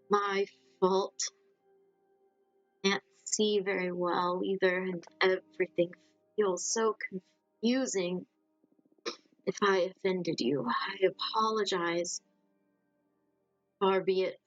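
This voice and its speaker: Woman voicing a timid, halting, gentle ghost. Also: mid-range voice, fairly even intonation, no echo, muffled recording, soft background music. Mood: meek, apologetic. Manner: slow, halting, polite. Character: timid, gentle, confused ghost of a dead person.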